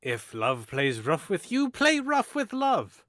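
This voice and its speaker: Man doing a witty young noble, mocking, theatrical, very animated pitch, dry close sound. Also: slow pace, no room sound, full character voice. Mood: playful. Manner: playful, teasing, theatrical, swings from hushed to loud. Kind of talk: audio drama acting.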